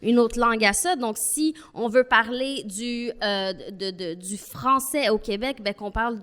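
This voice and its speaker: A woman's voice: on the high side, a little slow, fairly bright tone, clear, resonant tone. Earnest woman. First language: not French. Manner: earnest, thoughtful, argues step by step. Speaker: panel guest. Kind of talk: panel discussion.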